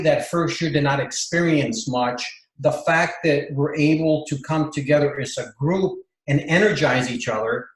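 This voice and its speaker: Man telling a long story in a roomy space. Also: on the high side. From Bolivia. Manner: rambling, many fillers and restarts. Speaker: interview guest. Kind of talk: casual storytelling interview.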